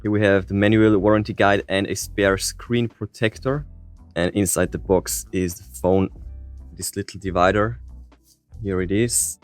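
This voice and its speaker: A man's voice: on the low side, fairly even intonation, measured pace, no echo. Man reviewing gadgets over music. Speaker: tech reviewer. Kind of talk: casual gadget unboxing and review commentary.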